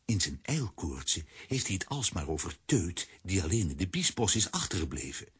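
A man's voice: low-pitched, very animated, normal speed, slightly rough, full character voice. A man narrating a scripted children's adventure story as the storyteller of a radio play.

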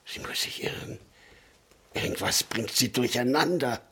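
An actor playing a gruff, defensive middle-aged butcher and father in a radio drama, husky and evasive. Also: medium pitch, a little slow, pushed and bright. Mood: evasive, uneasy. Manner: evasive, indignant.

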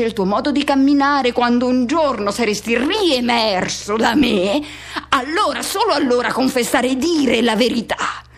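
Woman acting an anguished, high-voiced haunted lover. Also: close to an everyday voice. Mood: pained, pleading. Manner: intense, halting, short broken phrases with repetition. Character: anguished person haunted by a lost youthful love. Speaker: actress performing a monologue. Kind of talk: dramatic radio theatre monologue.

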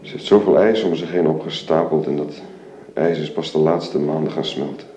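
An actor in a radio drama playing an anguished, middle-aged husband and father, speaking haltingly and pleadingly. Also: a bass voice, fairly even intonation, warm tone. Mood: troubled, confiding. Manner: halting, pleading, repeats himself. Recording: roomy echo, faint background noise.

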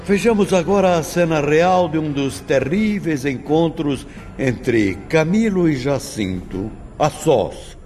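A man's voice: fairly high pitch, expressive, takes it slowly, a little mellow, soft background music. A man narrating a drama from a script, with a grave, formal delivery.

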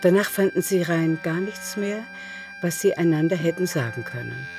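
A woman's voice: lowish voice, animated, normal speed. A woman narrating a literary radio drama in a scripted, storytelling delivery. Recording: music behind the voice.

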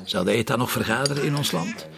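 Gravelly, grumbling Flemish police chief. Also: male voice, mid-range voice, animated, fast talker, a crowd in the background. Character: grumbling, sceptical police chief and harried father. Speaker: actor in an audio drama. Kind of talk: naturalistic radio drama dialogue.

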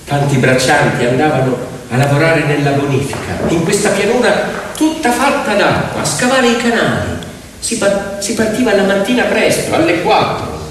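A man's voice: fairly high pitch, big pitch swings, normal speed, slight background noise. A man performing theatrical storytelling, with dramatic, emphatic delivery in short phrases. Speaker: stage storyteller and actor. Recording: very echoey hall, distant mic, faint music. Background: faint piano music.

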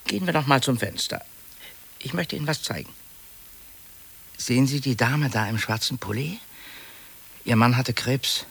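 A fussy middle-aged male office clerk in a 1950s radio play. His voice sits at a middle pitch. He confides hesitantly and anxiously, gently pleading and seeking agreement. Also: animated, normal speed, vocal fry, pauses often, slightly rough, full character voice. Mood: confiding, observing. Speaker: actor.

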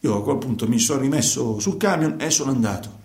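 Actor as defensive southern Italian truck driver, echoey. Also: male voice, medium pitch, darkish tone, natural voice. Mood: agitated, indignant. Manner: halting, repetitive, defensive, colloquial. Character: guilty truck driver confessing, self-justifying, agitated, coarse. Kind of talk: radio theatre monologue, colloquial confession. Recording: echoey room.

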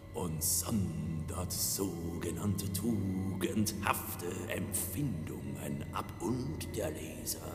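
An actor playing an eerie, sententious commentator in a radio play, reciting in a halting, drawn-out way that often drops to a whisper. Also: male voice, deep voice, exaggerated voice. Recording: echoey reverberant hall with music.

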